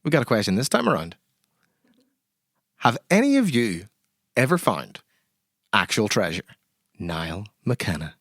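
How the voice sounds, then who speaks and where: A man's voice: on the low side, very animated, a little slow, long pauses, a bit bright, no echo, studio quality. A man hosting a comedy tabletop show, moving between showy introductions and friendly banter. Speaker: host of an improvised comedy horror tabletop show. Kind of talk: lively scripted introductions and banter.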